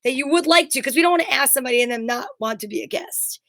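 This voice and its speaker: A woman with a high voice and close, dry sound chats casually as a co-host. She is blunt and emphatic, drifting into rambling personal anecdotes with the odd swear word. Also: very fast, a bit bright, dry recording. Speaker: show co-host. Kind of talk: casual chat.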